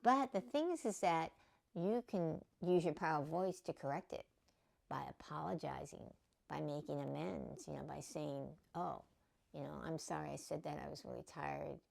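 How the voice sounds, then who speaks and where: Low, gravelly-voiced woman teaching spirituality. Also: moderate pace, darkish tone. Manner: conversational, many fillers and "okay?" check-ins. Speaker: spiritual teacher and podcast host. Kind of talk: casual spiritual teaching monologue.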